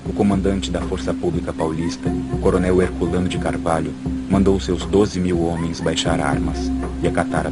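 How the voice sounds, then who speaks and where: Documentary narrator, Brazilian man, very low register, scripted historical read over prominent music. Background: loud background music.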